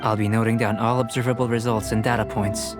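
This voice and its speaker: An actor playing a calm, self-assured young male alchemist in an English game dub, speaking in a measured, scholarly, detached way. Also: fairly low pitch, over loud music, natural voice. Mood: calm, instructive.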